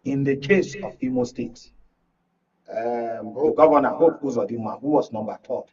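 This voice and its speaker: A man's voice: mid-range voice, moderate pace, a little mellow, slight background noise. A Nigerian-accented man speaking as a guest analyst on a live political panel, emphatic and repeating words for stress. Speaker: studio guest, journalist and media analyst. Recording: muffled, distant-mic broadcast audio.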